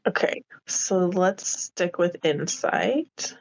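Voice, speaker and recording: A woman's voice: fairly low pitch, lively intonation, slow. A woman chatting casually as a player in a tabletop roleplaying game, informally thinking aloud, on slightly lo-fi audio.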